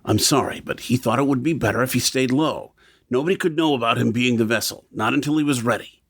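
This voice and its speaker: A man's voice: mid-range voice, fast, a bit bright, no echo, clean studio sound. A man playing an earnest, secretive character in an audio drama, speaking in an explanatory way. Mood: defensive.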